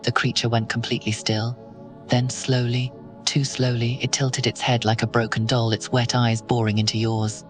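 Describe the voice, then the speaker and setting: A narrator telling a horror story in second person, slow and ominous. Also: medium pitch, flat delivery, faint music behind.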